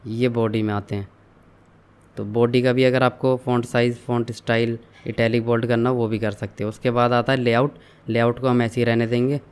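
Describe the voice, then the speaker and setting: A young man calmly walking viewers through an online store setup, step by step, mixing English terms into his explanations. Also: medium pitch, clean tone. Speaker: online tutorial instructor. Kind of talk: screen-share software walkthrough.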